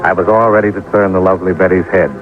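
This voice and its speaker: A man's voice: low-pitched, fairly even intonation, racing, natural voice. An American man playing a wry, unflappable insurance investigator in an old-time radio detective drama, dry and casual. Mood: brisk narrating. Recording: dull old radio recording, music behind.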